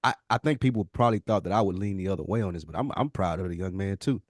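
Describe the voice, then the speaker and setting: A middle-aged man with a fairly low voice, recorded dry. He hosts a casual discussion in a conversational, slangy way and swears freely. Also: rapid-fire, no room sound. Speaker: podcast host.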